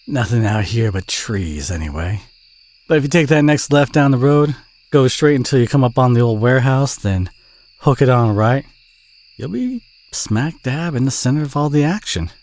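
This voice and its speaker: A man playing a friendly, modest country boy with a Southern US accent, chatty and gently teasing in an intimate audio roleplay. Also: medium pitch, lively intonation, moderate pace, natural voice. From southern United States.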